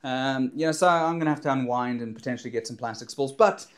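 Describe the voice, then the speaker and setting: A man's voice: mid-range voice, quick pace. A young man chats to camera in a small home studio, recorded close on a lapel mic. He rambles happily, piling up fillers and restarting sentences, his ordinary mid-range voice full of enthusiasm for his hobby. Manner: rambling, many fillers and restarts, animated hand gestures. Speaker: maker and drone surveyor vlogger. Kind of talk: casual enthusiastic talk to camera. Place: indoor home studio in front of a backdrop. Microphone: clip-on lapel mic.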